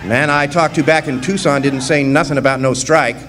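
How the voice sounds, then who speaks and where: An actor playing a plainspoken, folksy young drifter miner of 1917 in a radio drama. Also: male voice, on the high side, steady tone, brisk, fairly bright tone, natural voice. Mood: wry, steady. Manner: folksy, plainspoken, drawling dropped grammar. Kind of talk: first-person radio drama narration and dialogue. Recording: roomy live hall stage, background hiss.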